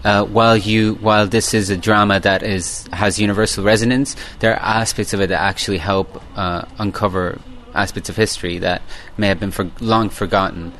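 Podcast interviewer, deep voice, loose wandering questions, faint room hum. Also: male voice, fairly even intonation, a little fast, hardly any pauses, fairly bright tone. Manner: long rambling questions with fillers. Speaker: podcast host.

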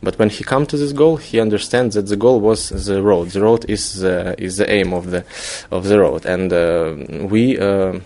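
A man from Ukraine with a Ukrainian accent, a filmmaker being interviewed, searching for words haltingly in his non-native English. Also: low-pitched, a little mellow. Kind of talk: conversational interview.

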